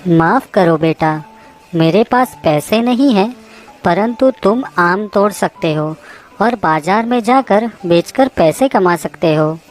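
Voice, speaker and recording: A woman voicing a gentle, self-sacrificing mango tree in a children's cartoon story, affectionate and apologetic. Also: mid-range voice, lively intonation, takes it slowly, soft background music. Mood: apologetic, giving.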